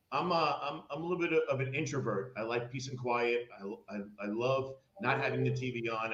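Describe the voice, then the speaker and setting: A middle-aged man, a media personality, speaking as a guest in a joking, lively way, often stumbling and repeating words. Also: medium pitch. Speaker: media personality, former mortgage lender. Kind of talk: remote guest storytelling. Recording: roomy, dull remote audio.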